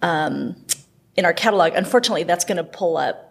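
Low, husky-voiced woman chatting informally. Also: animated, fast talker. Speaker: librarian guest. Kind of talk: casual interview chat about library items.

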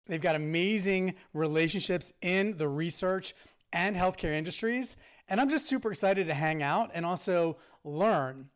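A man's voice: high voice, moderate pace, clean tone. A man co-hosting a podcast, introducing a guest with enthusiasm. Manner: enthusiastic, repeats "amazing". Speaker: podcast co-host. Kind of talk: casual podcast chat.

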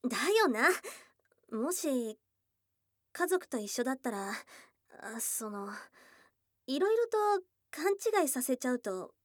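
A woman voicing a shy, affectionate young goblin girl in a Japanese ASMR voice drama, with casual tomboyish speech and a soft, hesitant delivery. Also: high-pitched, big pitch swings, slow, long pauses, no room sound, studio quality, exaggerated voice. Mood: flustered. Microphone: close studio mic, dry.

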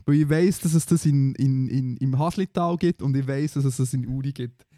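Man, Swiss German accent, teasing podcast co-host, mellow tone, dry room. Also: medium pitch, steady tone, normal speed, no room sound. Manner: playful, teasing. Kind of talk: casual podcast chat.

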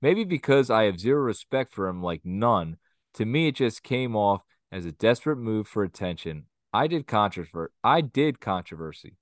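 A man with a fairly low voice talks in loose, rambling podcast chat, blunt and opinionated, with crude jabs now and then. His voice sounds close and dry, with no room around it. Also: dry recording. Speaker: podcast co-host. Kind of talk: casual wrestling podcast chat.

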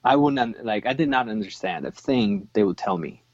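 A man's voice: fairly low pitch, animated, a little fast, dry recording, dull audio. A man from Chile whose first language was Swiss German, talking in a casual interview with many ums and restarts.

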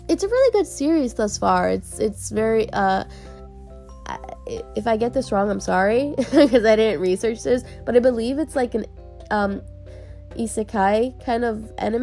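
A woman's voice: medium pitch, gravelly, darkish tone. Congested, nasal woman podcast host. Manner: bubbly and chatty, laughs often. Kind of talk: casual solo podcast chat.

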